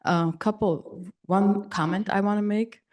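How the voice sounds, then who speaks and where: A woman's voice: mid-range voice, steady tone, a little slow, darkish tone. A woman in the audience making a comment and asking a question, speaking directly and assertively.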